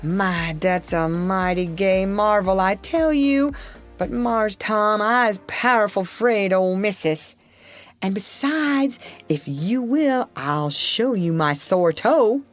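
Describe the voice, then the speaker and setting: A woman's voice: mid-range voice, animated, slow pace, soft background music. Woman doing a timid, hesitant errand boy. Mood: reluctant.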